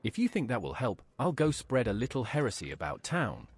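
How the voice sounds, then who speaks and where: A man's voice: medium pitch, huge pitch swings, brisk, breathy edge, full character voice. A man's synthetic-style voice playing a cool, inquisitive fantasy game adventurer who preaches heresy. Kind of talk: AI-voiced video game player dialogue.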